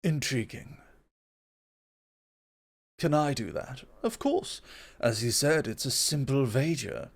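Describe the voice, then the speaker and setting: A man voicing a proud, composed god of creation in an ASMR roleplay, speaking in a measured, formal, self-assured way. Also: medium pitch, sing-song, vocal fry, full character voice. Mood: intrigued, assured.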